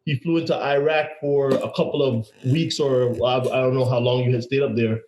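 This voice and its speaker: A man's voice: mid-range voice, a little fast. A man hosting a podcast who tells stories energetically and often repeats words. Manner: energetic storytelling with laughs and repeats. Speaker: podcast host. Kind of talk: casual interview chat. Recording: roomy echo.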